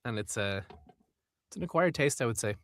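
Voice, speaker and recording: Bright-voiced Irish man chatting. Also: medium pitch, expressive, a little fast, no echo. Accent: Irish, from Wexford. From Ireland. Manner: chatty, laughs easily, tries out accents. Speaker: audiobook narrator, guest. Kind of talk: casual interview chat.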